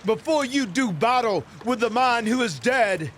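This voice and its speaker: A man's voice: very high-pitched, animated, unhurried, fairly bright tone, slight background noise, exaggerated voice. An actor playing an eccentric, grandiose mystical boatman guide in a video game, delivering theatrical proclamations. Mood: grandiose, solemn. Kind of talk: video game cutscene acting.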